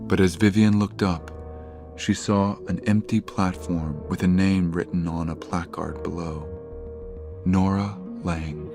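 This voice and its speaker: Deep male narrator, grave measured audio drama storytelling, music playing behind. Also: steady tone.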